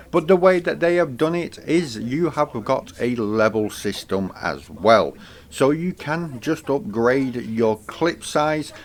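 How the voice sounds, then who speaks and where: A man with a mid-pitched voice reads his own scripted review of a video game. Each phrase starts high and falls away at the end, so the delivery sounds lively. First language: English.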